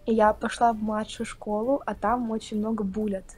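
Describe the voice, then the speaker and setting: A young woman from Russia chatting casually on a video call, talking with lively hand gestures. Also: medium pitch, normal speed, husky, soft background music. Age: young adult. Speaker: guest. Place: indoor room, plain wall.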